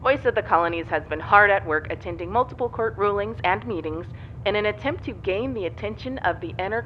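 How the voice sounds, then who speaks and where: News anchor, played by a woman: formal, composed, slightly muffled broadcast with faint rumble. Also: natural voice. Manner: formal newsreader delivery. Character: composed female news anchor in sci-fi future.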